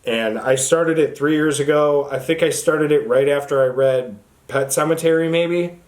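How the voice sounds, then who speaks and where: A man's voice: fairly high pitch, moderate pace. A man hosting a book channel, talking casually to the camera in an informal, rambling way. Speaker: book review channel host.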